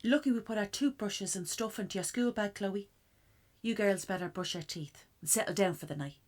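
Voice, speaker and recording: A woman's voice: mid-range voice, brisk, a little mellow, no echo, close to an everyday voice. A woman playing a weary, exasperated Irish mother made homeless with her children, sardonic and colloquial, in a radio drama. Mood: gentle, tired.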